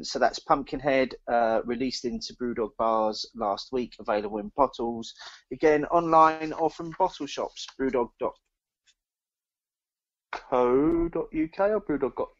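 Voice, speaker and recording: British man, lower-pitched, casual podcast host. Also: creaky, dry recording, highs cut off. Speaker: podcast co-host reading news. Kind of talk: casual beer podcast chat.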